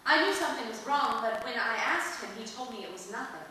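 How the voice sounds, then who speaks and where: An actress playing a prim, guarded wife hiring a detective, with formal, deliberate diction in old radio serial style. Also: female voice, on the high side, animated, sharp tone. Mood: worried. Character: prim, guarded married female noir client. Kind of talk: 1940s radio serial acting. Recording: roomy distant hall recording, faint noise.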